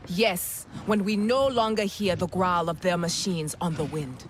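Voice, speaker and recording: A woman's voice: on the low side, unhurried, slight background noise, close to an everyday voice. A woman playing a stern, commanding alien clan leader in a video game. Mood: resolute.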